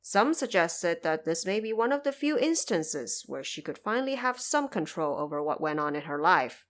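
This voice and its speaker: A woman's voice: fairly low pitch, fairly bright tone. A woman hosting a podcast in scripted explanatory narration, articulate and pointedly emphatic. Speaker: podcast host.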